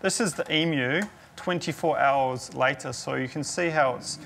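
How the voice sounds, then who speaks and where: A man's voice: medium pitch, moderate pace, gravelly, fairly bright tone, a little hiss or hum. A young man working as a chef, explaining a dish step by step in a cooking demonstration. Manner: explanatory, walks through each step. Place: indoor kitchen.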